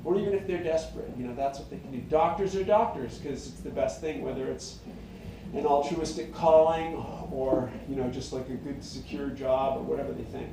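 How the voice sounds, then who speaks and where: A middle-aged singer-songwriter with a high tenor voice, talking in a meandering, reflective way full of fillers and restarts. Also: male voice, steady tone, fairly quick, vocal fry, background noise. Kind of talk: rambling casual monologue. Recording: very echoey room, mic at a distance.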